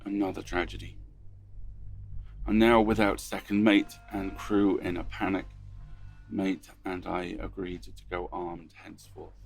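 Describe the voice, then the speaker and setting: A dutiful ship's captain worn down by dread in an audio drama. His low voice is slow and gravelly, grave and halting, over faint music. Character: doomed, dutiful ship's captain, weary and fearful. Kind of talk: audio drama acting, reading a logbook.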